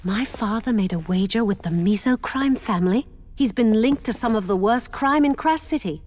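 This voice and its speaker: A woman's voice: on the high side, lively intonation, moderate pace, a little mellow. A woman playing a crime lord's earnest, worried daughter in a video game, speaking seriously. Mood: pleading. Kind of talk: video game cutscene acting.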